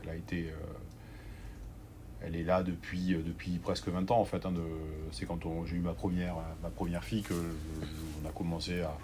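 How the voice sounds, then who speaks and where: A man in his forties from southwest France, the guest, talking through his career slowly with many fillers and restarts. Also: deep voice, level tone, darkish tone, slight background noise. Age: 40s. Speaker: organic grocery owner, guest. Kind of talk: conversational interview.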